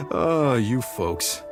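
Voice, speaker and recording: An actor playing a proud, jovial restaurant chef in a video game cutscene, talking in a hearty, businesslike way. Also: male voice, medium pitch, heavily acted. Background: game music.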